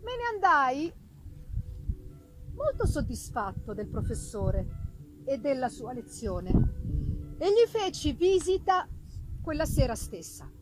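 A woman giving a theatrical first-person reading of a Gothic novel, with deliberate phrasing and dramatic pauses. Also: sits high, very animated, rough recording. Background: live music accompaniment.

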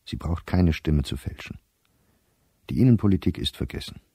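Deep, dark-voiced diarist, very dry sound. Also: male voice, lively intonation, moderate pace, slightly rough, no echo, heavily acted. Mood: sober, resigned. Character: anxious middle-aged male diarist reading his diary. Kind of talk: dramatic reading of a diary for radio.

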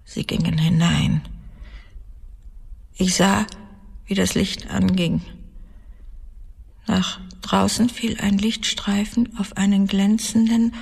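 A cold-blooded woman confessing murder in a radio drama. Her voice is husky and creaky, with slow, deliberate phrasing that slips into breathiness. A long reverb trails every phrase. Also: mid-range voice, little pitch movement, darkish tone, heavily acted. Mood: stealthy, tense. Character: cold, vengeful female murderer confessing her cruel deeds.

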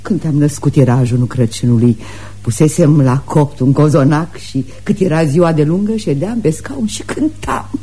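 A domineering, fussy mother in radio theatre. Her voice is on the low side, mellow and rough-edged, and she speaks with theatrical, superior airs. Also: lively intonation. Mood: soothing. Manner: theatrical, haughty, fussing.

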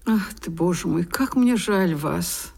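An actress playing a kindly, emotional widowed countess in a Russian radio drama, with a tender, effusive, theatrical delivery. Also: female voice, lowish voice, animated, slow, creaky, a little mellow, husky, heavily acted. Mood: compassionate, solemn.

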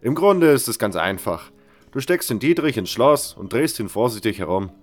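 A man playing a patient, matter-of-fact thief who teaches his craft in a fantasy audio drama, stressing things clearly. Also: medium pitch, sing-song, moderate pace, soft background music, close to an everyday voice. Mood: instructive.

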